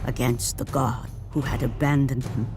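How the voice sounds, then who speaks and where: An actor playing a grave storyteller of ancient myth, narrating a video game with solemn, portentous weight and pauses. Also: male voice, medium pitch, fairly even intonation, gravelly, lightly acted. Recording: music behind the voice.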